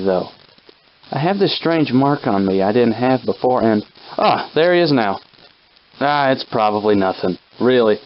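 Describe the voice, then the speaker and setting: Guy doing a folksy old timey soldier sidekick for a video game, mid pitched, plucky but rattled, kinda muffled lofi audio. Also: close to an everyday voice. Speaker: game character companion. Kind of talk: scripted video game character acting, old-time dialect.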